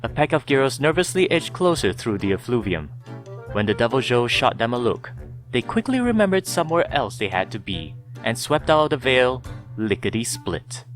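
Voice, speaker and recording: A man narrating a scripted story in a measured, dramatic storytelling manner. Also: medium pitch.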